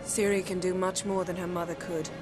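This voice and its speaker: A woman's voice: fairly low pitch, flat delivery, fairly quick, natural voice. A woman playing an imperious, sardonic sorceress in a video game, poised and formal. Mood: businesslike. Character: imperious, self-assured sorceress, brisk and sardonic. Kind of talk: video game cutscene acting. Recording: loud game music under the voice.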